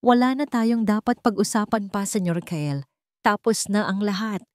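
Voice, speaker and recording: A woman's voice: mid-range voice, normal speed, no room sound, studio quality, pitched above the performer's own voice, natural voice. A woman voicing a wounded young woman in a romance story, guarded, restrained and firm. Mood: guarded, final.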